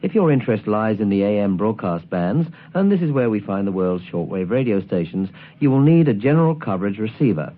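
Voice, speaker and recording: Male presenter for scripted how-to narration; animated intonation, somewhat deep, slightly rough-edged voice, didactic delivery. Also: fairly quick, few pauses, phone-quality sound. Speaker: presenter of a shortwave listening guide.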